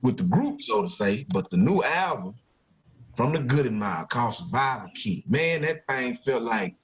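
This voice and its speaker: A man's voice: mid-range voice, very animated, normal speed, slight background noise. A man hosting a podcast in casual, slangy banter peppered with profanity. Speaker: podcast host.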